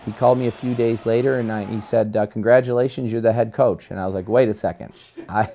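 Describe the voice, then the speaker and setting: A middle-aged man, a high school hockey coach, talking casually in a plain-spoken, informal way. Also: fairly even intonation, fast talker, vocal fry, mellow, slight background noise. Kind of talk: casual interview talk and rink coaching.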